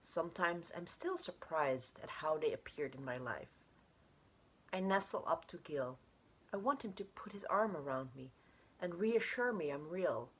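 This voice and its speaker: A woman reading her own literary short story aloud in an expressive way. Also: lowish voice, husky, rough recording.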